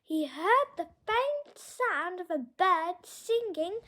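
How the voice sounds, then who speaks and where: A storyteller with a childlike voice telling a folktale for children in a slow, deliberate way. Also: very high-pitched, sing-song, pushed and bright.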